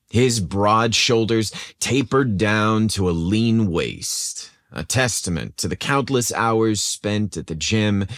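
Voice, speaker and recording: A man narrates a story as a podcast host, with a lowish voice that rises and falls widely. His delivery is teasing and suggestive, and the room around him sounds echoey. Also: studio quality.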